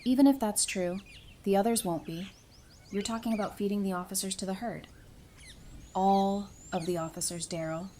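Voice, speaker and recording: A woman's voice: mid-range voice, normal speed, mellow, slight background noise, natural voice. An actress playing a blunt, weary woman survivor of a zombie apocalypse in an audio drama, with an exasperated, direct manner.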